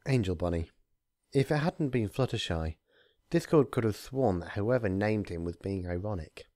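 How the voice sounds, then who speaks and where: A man reading a story aloud as narrator, in an expressive scripted storytelling style. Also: lowish voice, normal speed, no room sound, clean studio sound. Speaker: narrator, fan fiction reader.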